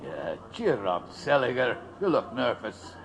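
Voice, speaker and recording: Audio drama: hearty, rallying German immigrant anarchist man, middle-pitched with very lively swings. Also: unhurried. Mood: hearty, teasing.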